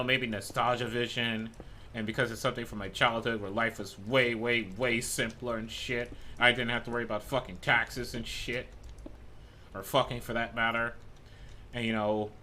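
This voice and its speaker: Hoarse young-sounding streamer, bright voice. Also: male voice, medium pitch, slight background noise. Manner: rambling, swears freely, talks to himself. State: losing his voice. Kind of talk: casual gameplay chat.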